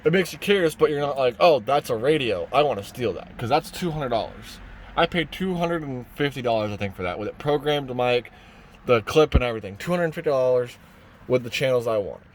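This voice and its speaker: A man talks through a do-it-yourself vehicle tutorial in a casual, unscripted way. His mid-pitched voice wanders as he thinks out loud. Faint noise from the truck cab sits behind him. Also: lively intonation, fairly quick. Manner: informal, rambling, thinking aloud. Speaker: vlogger presenting a truck radio install. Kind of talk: casual hands-on tutorial talk. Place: inside a parked pickup truck cab.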